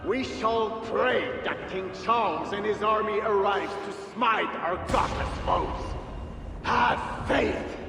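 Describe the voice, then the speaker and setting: A pompous medieval bishop, preaching zealously in a game cutscene. His high voice is slow and oratorical, ringing from a distance through a long-echoing hall. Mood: pious, commanding. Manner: oratorical, sermonizing. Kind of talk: dramatic video game cutscene acting. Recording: echoing stone hall, distant, music behind.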